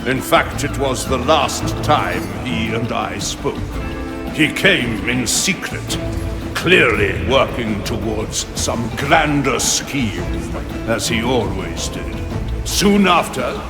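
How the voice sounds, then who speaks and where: An actor playing an ancient, sardonic cursed plant spirit in a video game, pompous and condescending. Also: male voice, mid-range voice, animated, takes it slowly, over music. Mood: grave, knowing. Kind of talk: video game character acting.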